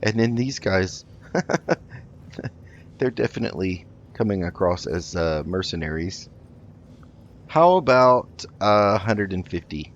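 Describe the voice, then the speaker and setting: A man hosting a roleplay gaming video, improvising in character with a polite, halting delivery. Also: low voice, lively intonation, lo-fi sound, a little hiss or hum. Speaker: gaming host.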